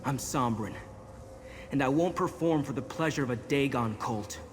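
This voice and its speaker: Man as a defiant, wry captive, mid-pitched. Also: normal speed, a little hiss or hum, natural voice. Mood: defiant, scornful. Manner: scornful, dramatic. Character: defiant, wry male prisoner awaiting sacrifice. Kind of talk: fantasy game dialogue.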